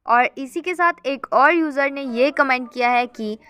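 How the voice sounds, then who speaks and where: A woman's voice: high voice, a little slow, bright, forceful tone, clear, resonant tone. A woman hosting a gossip channel, chatting casually as she reads out viewer comments and keeps addressing her viewers as guys.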